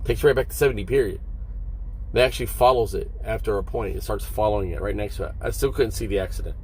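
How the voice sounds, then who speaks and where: A man, a long-haul trucker, talks casually and haltingly to his viewers from inside a parked truck cab, explaining things and checking in with short questions. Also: lowish voice, a little mellow, low-quality recording. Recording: vehicle noise behind the voice.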